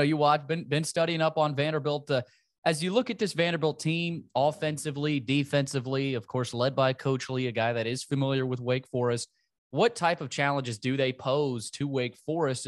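A man hosting a sports podcast, putting wordy, roundabout questions to a guest in a conversational way and restarting as he goes. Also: mid-range voice.

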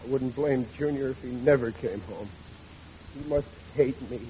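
A man's voice: medium pitch, normal speed, dark tone, natural voice. An actor playing a melodramatic, woeful middle-aged working-class father in 1940s radio comedy style, whining and ungrammatical. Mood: gloomy self-pity. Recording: dull noisy old radio recording.

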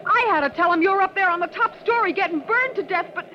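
A woman's voice: very high voice, fast talker. A flustered tenement mother. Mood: accusing. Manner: breathless, fussy, rambling. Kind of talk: 1940s radio drama acting. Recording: dull old radio recording with hiss.

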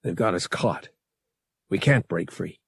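Casting: man as brash smuggler pilot, husky and gravelly, sardonic, blunt, audiobook sci-fi. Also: lowish voice, big pitch swings, unhurried, a little mellow, no echo, studio quality, heavily acted. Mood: resigned, dull. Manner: gruff, exasperated, blunt.

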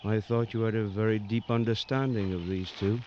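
Man acting a low-voiced, terse safari tracker, old radio. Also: fairly even intonation, a little mellow, close to an everyday voice. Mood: calm, questioning. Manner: terse, measured, stoic. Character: calm, watchful male safari tracker in Kenya, loyal. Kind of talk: 1940s-60s radio drama acting. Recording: dull, noisy old radio recording.

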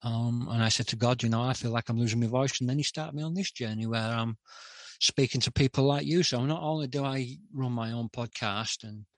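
A middle-aged man speaking candidly as a podcast guest, hesitant with many pauses and restarts. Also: mid-range voice, fairly even intonation, no room sound. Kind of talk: candid interview talk.

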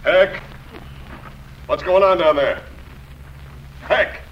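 An actor playing a rough, irritable bandit leader in an old-time radio western. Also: male voice, high voice, very slow, a little hiss or hum. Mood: alarmed, demanding. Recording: muffled vintage radio recording.

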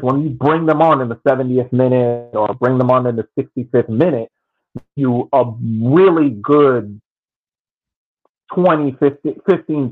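A thin, band-limited recording carries a man of average pitch. He talks through sports analysis casually, repeating phrases for emphasis as he explains. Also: narrow, tinny audio. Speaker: podcast panelist.

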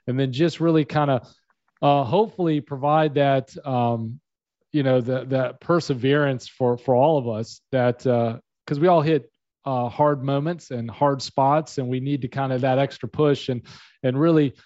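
A man's voice: medium pitch, steady tone, moderate pace, darkish tone, no room sound. A man hosting an interview over a video call, asking long questions full of fillers. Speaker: host. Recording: dull lo-fi video call audio.

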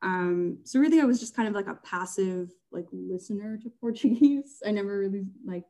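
A young woman talks casually as an interview guest, often laughing lightly between thoughts. Her voice is mellow and relaxed, and she fills her sentences with "um" and "like". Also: mid-range voice, fairly quick. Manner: chatty, laughs often, many fillers.

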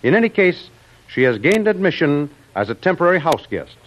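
A man's voice: fairly high pitch, sing-song, fairly quick. A man narrating a vintage radio drama in a formal, dramatic, scripted style. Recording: dull old radio recording.

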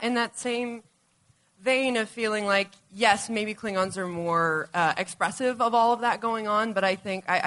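A woman speaks with earnest intensity, letting her thoughts run long. Her pitch sits in an ordinary middle range, and she answers as an actor on a discussion panel. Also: fairly bright tone.

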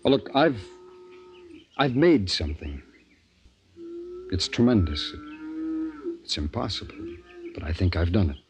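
A noisy vintage radio recording carries an anguished doctor with a low voice. He confesses in halting, hesitant phrases that swing widely in pitch, in the manner of fifties radio drama. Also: very animated, heavily acted. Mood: intense, fearful. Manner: halting, hesitant, repeating himself. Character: anguished, secretive doctor confessing a forbidden experiment. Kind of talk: 1950s radio drama acting.

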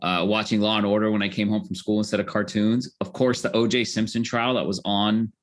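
A man's voice: on the low side, level tone, brisk. An American man from Southern California, a lawyer and tech executive, talking casually as an interview guest. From Southern California, United States.

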